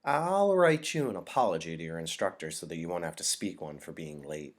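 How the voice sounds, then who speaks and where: A man voicing a kind mother in a storybook, speaking gently and explaining things to reassure her child. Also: low-pitched, lively intonation, brisk. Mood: gentle.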